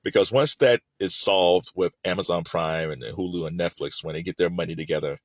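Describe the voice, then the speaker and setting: A man's voice: sits low, a little fast, dry recording, clean studio sound. A middle-aged man giving opinionated, unscripted music commentary in an emphatic, conversational way.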